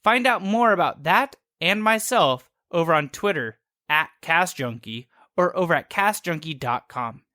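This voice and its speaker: A man's voice: fairly high pitch, expressive, a little slow, hardly any pauses, bright, forceful tone, no room sound, clean studio sound. A man who hosts a podcast, reading a scripted promo for his show. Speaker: podcast host.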